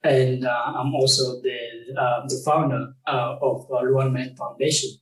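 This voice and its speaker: A man's voice: medium pitch, steady tone, far from the mic. Young man from South Sudan, roomy off-mic sound. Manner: halting, many fillers and restarts, laughs often. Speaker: guest, game company founder. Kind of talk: casual interview conversation.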